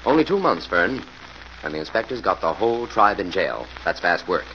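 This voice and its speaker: An assured, genial investigator in an old-time radio mystery. His low voice explains things in a measured way, over a dull recording with clear background noise. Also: big pitch swings, bright, forceful tone, heavily acted. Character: assured, genial male detective hero. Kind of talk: 1940s radio acting.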